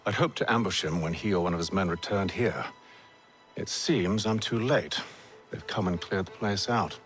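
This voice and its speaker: A man's voice: low voice, moderate pace, a bit bright, faint music behind. A man with a British accent playing a haughty, sardonic middle-aged Templar master in a game, with a pompous, theatrical manner. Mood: impatient. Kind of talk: dramatic video game cutscene acting.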